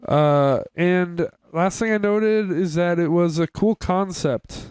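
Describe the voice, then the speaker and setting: A young man talks casually as a podcast co-host, giving candid opinions in informal, sweary language. His voice sits a little high and is very clear and smooth. The sound is dry, with no room on it. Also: slow pace, a little mellow, no room sound. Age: young adult. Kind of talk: casual review chat.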